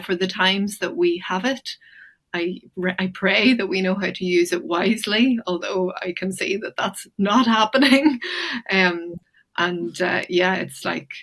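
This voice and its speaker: A woman speaking as an interview guest, reflective and thoughtful, pausing often with fillers and restarts. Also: lowish voice, a bit bright.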